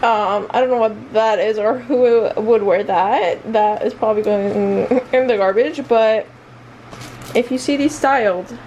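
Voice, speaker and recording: A woman's voice: on the high side, fairly even intonation, a little slow, a little mellow, muffled recording, a little hiss or hum. A woman chatting casually in a vlog, rambling along in a self-deprecating way. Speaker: vlogger.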